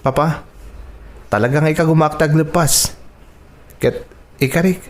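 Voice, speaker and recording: Guy acting sorry young filipino son in a radio drama, slightly high voice, earnest and respectful. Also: a little slow, natural voice. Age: young adult.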